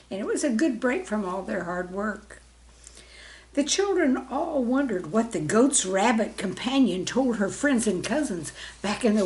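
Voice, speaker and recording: A woman's voice: mid-range voice, darkish tone, slight background noise, rough recording. A woman reading a children's story in a simple, friendly way, as if speaking directly to young listeners. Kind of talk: gentle scripted storytelling for children.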